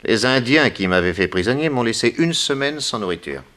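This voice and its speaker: An actor playing a bold, wry detective with a brisk commanding manner in a 1960s French radio serial. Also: male voice, medium pitch, expressive, a bit bright, natural voice. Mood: confident, scheming.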